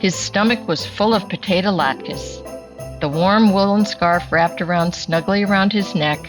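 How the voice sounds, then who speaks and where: A woman reading a children's story aloud as storytime host, deliberate and expressive. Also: lowish voice, music in the background, poor audio.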